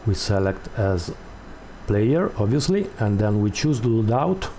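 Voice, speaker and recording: Male tutorial host, unhurried and halting, slightly soft-toned, with light background noise. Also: on the low side, sing-song. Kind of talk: step-by-step screen tutorial, unscripted explanation.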